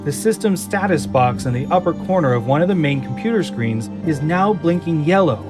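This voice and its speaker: A man narrating a scripted audio drama in a measured, descriptive manner. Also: a little high, animated, music in the background.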